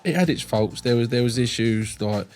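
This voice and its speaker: A man's voice: mid-range voice, moderate pace, a little mellow, soft background music. A British man in a podcast studio, telling stories in a chatty, casual way. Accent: British English. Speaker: guest, project manager.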